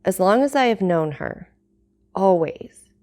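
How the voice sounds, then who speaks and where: A woman's voice: medium pitch, lively intonation, unhurried, mellow. Fernand: woman reading a lovesick, earnest young man, storybook. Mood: desperate. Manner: earnest, resolute.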